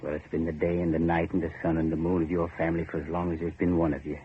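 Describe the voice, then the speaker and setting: A grim boatman acted by a man with a very low voice and a foreboding, cryptic air. He talks in folksy, clipped phrases in the manner of vintage radio horror. The recording is muffled and noisy, like an old broadcast. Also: little pitch movement, very fast, close to an everyday voice. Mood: intense, bitter. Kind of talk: 1940s radio horror acting.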